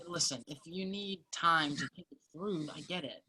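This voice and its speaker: An actor playing a charming, earnest college soccer player in a virtual reading of a stage play. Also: male voice, fairly high pitch, normal speed, pushed and bright, slight background noise. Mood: earnest, pleading. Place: remote virtual reading over video call.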